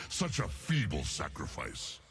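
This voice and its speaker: A man voicing an ancient, savage bear demigod from a fantasy game, gravelly and contemptuous, making grandiose, menacing declarations. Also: extremely low pitch, huge pitch swings, slow, fairly bright tone, a little hiss or hum, full character voice. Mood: scornful. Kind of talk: fantasy game character voice lines.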